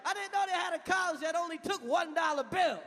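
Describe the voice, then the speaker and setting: A man in his thirties performing a loud stand-up comedy set on stage, shouting punchlines and chanting lyrics. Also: very high voice, fast. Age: 30s. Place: large venue on stage. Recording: live hall with loud crowd and noise.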